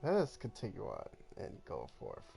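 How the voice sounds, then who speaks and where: A man giving casual commentary on his gameplay, muttering to himself with sudden loud outbursts. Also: sits low, wildly swooping pitch, moderate pace, vocal fry, faint music behind. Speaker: gamer.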